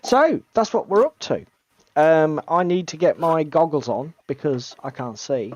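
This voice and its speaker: A man with a strained, gravelly voice, co-hosting a casual hobby chat and poking fun at himself. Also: fairly high pitch, lively intonation, measured pace, darkish tone, lo-fi sound. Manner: chatty, self-deprecating, swears casually. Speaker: co-host of a tabletop hobby stream.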